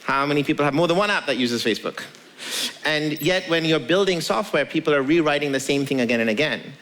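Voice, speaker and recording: Higher-pitched, creaky-voiced man explaining. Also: expressive, fast talker, fairly bright tone, low-quality recording. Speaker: tech company founder, guest. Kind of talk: conversational stage interview.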